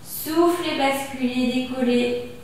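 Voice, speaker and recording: French fitness teacher lady, high voice, slow calm cues, super echoey studio picked up from far off. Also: a little hiss or hum. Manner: calm, slow cueing of breath and movement. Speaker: fitness instructor. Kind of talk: guided exercise class instruction. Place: small gym studio with wall bars, indoors.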